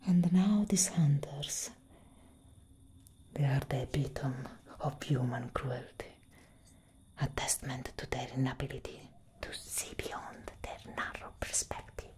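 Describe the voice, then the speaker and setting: A young woman playing a tender, protective elder vampire sister in a whispered ASMR roleplay, breathy and solemn, close to the microphone. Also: extremely low pitch, mellow, slight background noise. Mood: bitter, grave. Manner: slow, intimate, deliberate.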